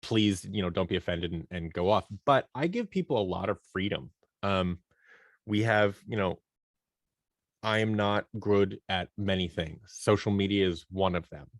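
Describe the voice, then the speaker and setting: Man, fairly low pitch, podcast guest, conversational, illustrates points with imagined dialogue, very dry close sound. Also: moderate pace, no room sound. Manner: animated storytelling, acts out imagined dialogue. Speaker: guest, creative company owner and director. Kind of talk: conversational podcast interview answers.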